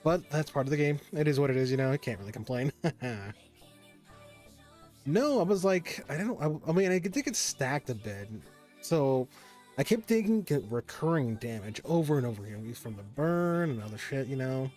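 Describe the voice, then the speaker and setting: A man's voice: mid-range voice, expressive, moderate pace, darkish tone, faint music behind. A young man streaming a video game, chatting casually with viewers, rambling and stopping and restarting, with the odd curse. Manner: rambling, stop-start, swears under his breath. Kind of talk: casual live-stream chat while gaming.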